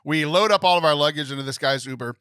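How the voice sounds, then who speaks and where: A young man co-hosting a podcast, telling a story in a lively, animated way. He laughs often and mimics other people's voices. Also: a little high, fast talker, smooth, no room sound. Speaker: podcast co-host.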